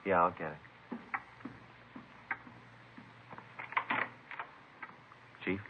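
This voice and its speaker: Male actor as terse American detective narrator, bottom-register deadpan delivery, old radio crime drama sound. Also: low-pitched, racing, background noise, lightly acted. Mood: businesslike. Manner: clipped, deadpan, matter-of-fact. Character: terse, businesslike male police detective narrating his case. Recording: tinny vintage radio recording, music.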